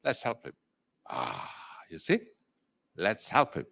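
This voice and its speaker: An older man with a Malaysian accent talking as an interview guest, loud and emphatic, dropping in Malay words and leaping to high exclamations. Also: huge pitch swings, takes it slowly, vocal fry. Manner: mixes in Malay words and phrases. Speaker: entrepreneur and executive chairman, interview guest. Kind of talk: interview over video call.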